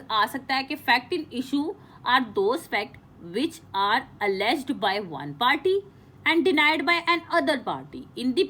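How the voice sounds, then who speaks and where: A woman teacher giving an informal lecture, explaining and reading out definitions in a halting, repetitive way with frequent check-in tags. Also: high voice, a bit bright, slight background noise.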